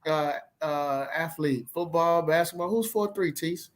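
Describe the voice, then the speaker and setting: A man's voice: fairly high pitch, a little slow. A man co-hosting a casual podcast, playful and slangy as he hypes up the episode. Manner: playful, slangy, hyping up the show. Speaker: podcast co-host.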